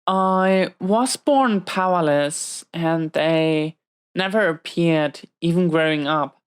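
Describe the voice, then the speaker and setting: Slow, low-voiced woman acting a guarded villainess. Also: smooth. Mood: hesitant, vulnerable. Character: protective, hot-tempered imprisoned female supervillain, guarded but tender. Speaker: audio roleplay performer. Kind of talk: intimate scripted audio roleplay.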